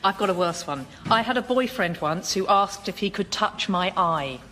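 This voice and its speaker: A woman's voice: medium pitch, lively intonation, moderate pace, very noisy, lightly acted. A woman playing an appalled, frank friend telling stories conversationally in radio sketch comedy. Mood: appalled, confiding. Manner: conversational, emphatic storytelling.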